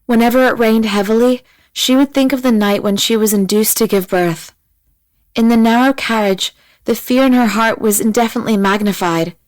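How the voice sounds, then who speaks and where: A woman narrating a scripted audiobook in a measured, dramatic manner. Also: on the high side, fairly even intonation, no room sound, low-quality recording.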